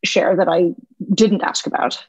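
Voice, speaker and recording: A woman's voice: on the low side, fairly even intonation, measured pace, slightly rough, dull audio. A woman hosting a music podcast, speaking enthusiastically and with emphasis to her guest and her listeners. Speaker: podcast host. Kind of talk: interview and scripted outro.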